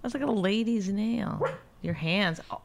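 A woman co-hosting a podcast, sarcastic and teasing with quick comebacks. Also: mid-range voice, creaky. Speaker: podcast co-host. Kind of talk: casual banter podcast.

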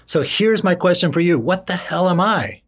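A man's voice: high-pitched, animated, normal speed, slightly rough. A man from Washington, D.C. co-hosting a podcast, casual and wry, asking questions and laughing easily. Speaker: podcast co-host. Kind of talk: casual chat.

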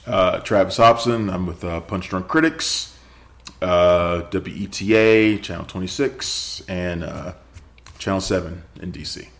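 A man with a very deep voice introduces himself as a film critic. He speaks hesitantly and fills the pauses with uhs.

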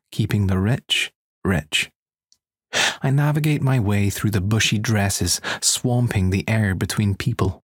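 A man's voice: lowish voice, no echo, studio quality. A man working as an audiobook narrator, reading a scripted first-person erotic story.

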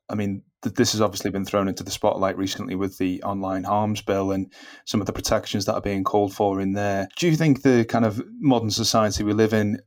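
A man with a low voice hosts a political podcast. He asks long, carefully built questions in a measured way. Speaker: podcast host, political editor. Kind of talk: interview questions.

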